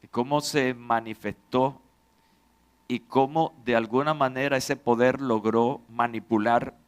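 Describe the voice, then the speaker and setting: A male pastor giving a measured, formal introduction to a testimony before his church. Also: mid-range voice, clear, resonant tone.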